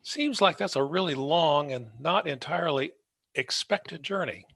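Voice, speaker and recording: A man's voice: fairly high pitch, unhurried, vocal fry, fairly bright tone. A man speaking as the host of an interview podcast. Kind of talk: scripted introduction, then conversational interviewing.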